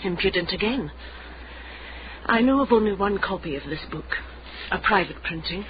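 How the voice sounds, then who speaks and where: A man's voice: sits high, expressive, moderate pace. A man playing a cold, secretive, commanding client with a clipped, imperious way of talking in a period radio drama. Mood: haughty, guarded. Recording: muffled radio broadcast, clear background noise.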